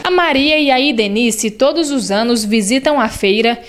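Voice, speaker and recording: A woman reads a scripted TV news voice-over at a brisk clip. Her voice sits fairly high. Faint noise runs under the narration. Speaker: TV reporter. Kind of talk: news report and interviewing.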